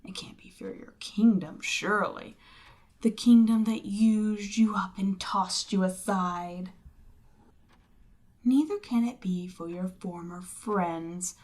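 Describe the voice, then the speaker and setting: Woman playing a slow-talking, imperious demon queen. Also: medium pitch, darkish tone, close to an everyday voice. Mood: frustrated, pressing. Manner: slow, deliberate, theatrical, persuasive. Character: seductive, imperious demon queen trying to sway a captive. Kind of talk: roleplay audio drama, ASMR monologue.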